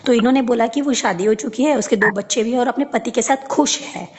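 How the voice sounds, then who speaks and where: Woman on a recorded phone call, kinda high voice, arguing personal stuff, keeps repeating herself to get a word in. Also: brisk. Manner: insistent, often repeats herself to take the floor. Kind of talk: heated personal phone conversation.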